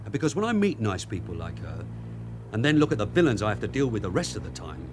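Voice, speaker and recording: Lively, streetwise London police sergeant. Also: male voice, lowish voice, noisy background. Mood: blunt, weary. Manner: brisk, commanding, clipped. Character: streetwise, bossy long-serving male London detective sergeant. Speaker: lead actor in a radio crime drama. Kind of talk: naturalistic British radio drama acting.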